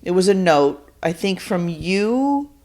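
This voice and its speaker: A woman's voice: lowish voice, sing-song, takes it slowly, darkish tone. Middle-aged woman chatting as podcast guest. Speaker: podcast guest, the hosts' mother. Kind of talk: casual chat, rambling anecdote.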